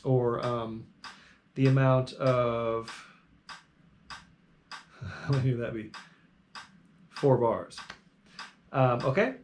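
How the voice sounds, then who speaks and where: A man teaching a live bluegrass jam lesson, calmly explaining the arrangement step by step and counting the band in. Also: medium pitch, drawn-out words, long pauses, slight background noise. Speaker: guitar teacher leading a jam. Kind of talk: live online music lesson. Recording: loud bluegrass guitar playing along.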